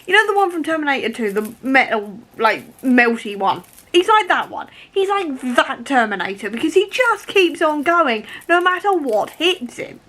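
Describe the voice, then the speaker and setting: Give the voice of a woman with a fairly high pitch, chatting informally in the style of a reading vlog. She is animated and emphatic, often repeating a phrase to stress it. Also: moderate pace, few pauses. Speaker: book vlogger. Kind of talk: casual reading vlog chat.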